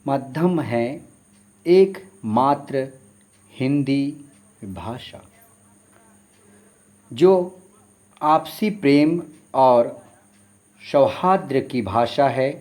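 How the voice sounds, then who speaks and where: Man, folk singer-poet, mellow warm tone, formal, reverent, solemn spoken intro. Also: mid-range voice, lively intonation, extremely slow, long pauses. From Chhattisgarh, India.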